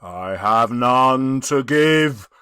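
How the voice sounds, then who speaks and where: A man voicing the anguished, tormented ghost of a dead businessman in a dramatic audiobook reading, with a declamatory, mournful and drawn-out delivery. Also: mid-range voice, expressive, sharp tone, smooth, dry recording, studio quality, exaggerated voice.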